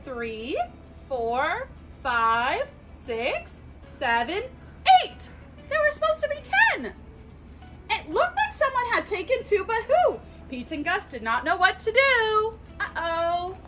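A young woman reading a picture book aloud to children, with an animated sing-song delivery and exaggerated expression. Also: extremely high pitch. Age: young adult. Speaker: storytime reader at a children's park. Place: outdoors in a leafy garden.

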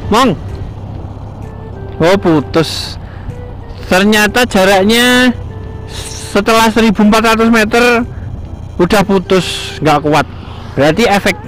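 A man's voice: high voice, slow pace, pauses often, a bit bright, soft background music, poor audio. A man from Indonesia, chatting and commenting casually in slangy, repetitive phrases as he rides a motorbike outdoors. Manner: slangy, mixes local speech with formal narration, repeats phrases. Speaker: motovlogger and host. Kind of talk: casual chat and commentary while riding. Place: outdoors on a motorbike on a village road.

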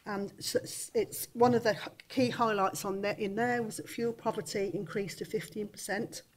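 A woman's voice: medium pitch, slight background noise, rough recording. A woman with a cold presenting a housing report to a council committee in a large formal chamber, coughing now and then, hesitant with many ums and restarts.

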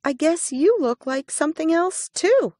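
Small eager hare, young female, high-pitched, innocent, slow, storybook read by a woman. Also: lively intonation, mellow, dry recording, clean studio sound. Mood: curious.

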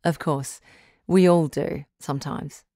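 Woman acting as calm female radio doctor, low voice, measured, reassuring, dry studio sound, audio drama. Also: no room sound. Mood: encouraging. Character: calm, reassuring woman doctor hosting relationship advice show. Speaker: radio advice host.

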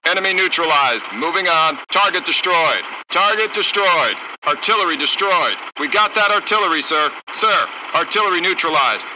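An actor voicing a gung-ho American tank crew commander in a strategy game, terse and brisk, through a military radio filter. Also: male voice, a little high, animated. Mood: confident, businesslike. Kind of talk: clipped military unit voice lines in a strategy game. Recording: dull radio sound with faint noise.

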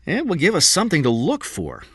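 A man's voice: fairly high pitch, wildly swooping pitch, a little fast, husky, no echo, studio quality, pitched above the performer's own voice, full character voice. A man voicing a frank, practical police lieutenant in an audiobook crime story, speaking in an emphatic, businesslike way.

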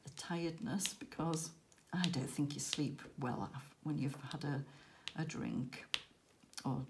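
Woman, croaky, tired, rambling chat, low mellow tone, faint background hiss. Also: steady tone, measured pace. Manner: rambling, self-deprecating, laughing often. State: croaky, tired after a late night. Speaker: crafting channel host. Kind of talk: chatty crafting vlog. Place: indoors at a crafting table.

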